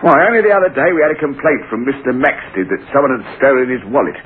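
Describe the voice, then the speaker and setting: Male actor as exasperated English policeman, officious, mid-pitched, animated, radio drama, thin old recording. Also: fast, close to an everyday voice. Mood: suspicious, exasperated. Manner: officious, colloquial, scolding. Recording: tinny, noisy old radio broadcast.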